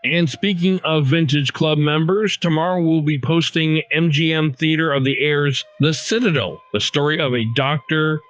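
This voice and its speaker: A man's voice: fairly high pitch, normal speed, few pauses, fairly bright tone, no room sound. A man hosting a podcast with scripted narration. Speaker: podcast host. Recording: dry, slightly dull close recording.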